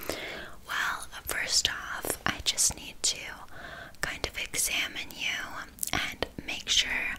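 A woman's voice: close to an everyday voice, poor audio. A woman voicing a blunt, vain, chatty town witch in a whispered ASMR roleplay, casually condescending. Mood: sympathetic, businesslike.

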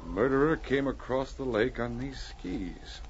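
Commanding police sergeant, animated old radio. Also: male voice, medium pitch. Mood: calm, firm. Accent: North American. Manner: measured, authoritative, deliberate explaining. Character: resolute male police sergeant, calm, shrewd, commanding. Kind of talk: 1940s radio drama acting. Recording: muffled, noisy old radio transcription.

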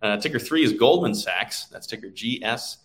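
A man's voice: medium pitch, lively intonation, normal speed, fairly bright tone. A man co-hosting a stock market show, talking through market commentary in a conversational style.

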